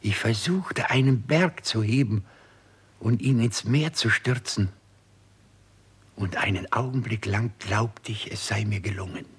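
An actor playing a brooding, obsessed doctor in a 1960s radio crime drama, with a husky voice and a solemn, deliberate delivery. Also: male voice, lowish voice. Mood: grave, haunted. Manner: slow, deliberate, solemn storytelling. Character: brooding, obsessive male doctor experimenting on raising the dead.

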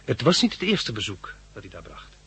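Easygoing, practical male survivor, very husky with some fry, vintage radio play. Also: on the low side, wildly swooping pitch, fairly quick, a bit bright, dry recording, exaggerated voice. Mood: reminiscing. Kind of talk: 1960s Dutch radio drama acting.

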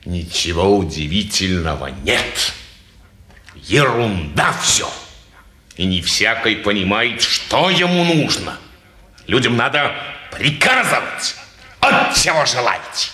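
A man's voice: lowish voice, big pitch swings, slow pace, sharp tone, exaggerated voice. A man playing a tipsy, gloomy, opinionated man who argues stubbornly in a 1950s Russian stage drama. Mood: gloomy, brooding. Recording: roomy 1950s radio theatre recording.

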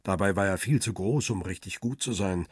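A very husky, rough-voiced middle-aged paparazzo narrates his own story in a radio crime drama. The voice sits fairly low and is recorded close and dry. The telling is measured and matter-of-fact. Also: dry recording. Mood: wry, easygoing. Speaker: narrator and lead actor.

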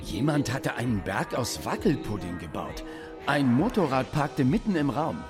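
A man's voice: medium pitch, big pitch swings, normal speed. A man in a German radio play, narrating and acting in a dry, pointed manner. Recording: music behind the voice.

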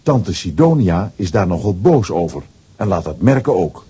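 A man's voice: sits low, sing-song, normal speed, dark tone, slightly rough. A man narrating a children's radio play in a scripted storytelling style.

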